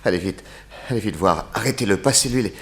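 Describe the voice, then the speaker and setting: A male actor plays a shaken American detective in a sixties French radio play. His voice sits low. He talks in agitated, stammering bursts, dazed yet pressing. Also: fast talker, a bit bright, slight background noise, lightly acted. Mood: agitated, incredulous. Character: dazed, urgent American private detective waking injured. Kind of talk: 1960s French radio drama acting.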